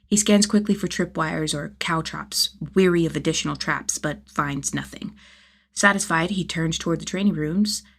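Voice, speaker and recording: A woman's voice: deep voice, normal speed, vocal fry. A woman narrating fan fiction as a scripted audiobook-style reading, with a dramatic, emphatic delivery. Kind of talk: expressive scripted story narration.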